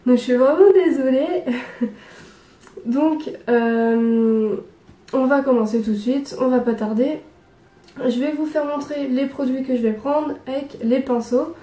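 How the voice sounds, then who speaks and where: Young woman, hoarse from a cold, soft warm voice, bit far off in an echoey room. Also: high voice. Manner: hesitant, many pauses and restarts. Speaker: beauty vlogger. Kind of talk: makeup tutorial. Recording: echoey room, away from the mic.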